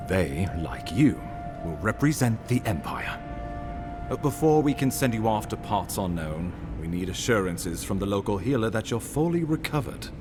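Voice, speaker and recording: Imperial official for a fantasy game: low, grave, authoritative, deliberate phrasing with wide inflection. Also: male voice, sing-song, music in the background. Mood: measured, cautioning. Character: grave, commanding imperial official briefing an envoy. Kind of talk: video game dialogue, formal and measured.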